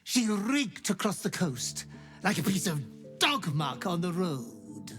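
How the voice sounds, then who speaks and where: A man's voice: sits high, wildly swooping pitch, slow, a bit bright, faint music behind, exaggerated voice. An actor playing an obsequious demon butler who gleefully fawns over his murderous master in a video game. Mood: gleeful fawning. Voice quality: fawning, gleefully ghoulish.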